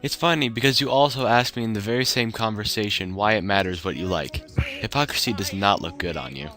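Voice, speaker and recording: A man's voice: lowish voice, a little fast, bright, forceful tone. A man doing a sarcastic, exaggerated dramatic reading of an online argument. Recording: music playing behind the voice. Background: music.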